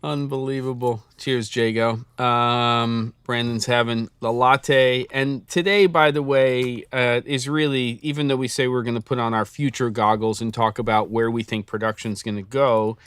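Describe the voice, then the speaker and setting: A man's voice: mid-range voice, steady tone, normal speed, hardly any pauses, fairly bright tone, clear, resonant tone, dry recording. A man co-hosting a casual show, chatting and rambling with frequent laughs. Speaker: podcast co-host. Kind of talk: casual chat.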